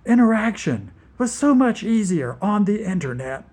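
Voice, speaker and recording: A man's voice: high voice, sing-song, moderate pace, darkish tone, voice pushed up. A man doing a hissing demonic toad creature. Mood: detached. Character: eerie demonic toad creature from another dimension.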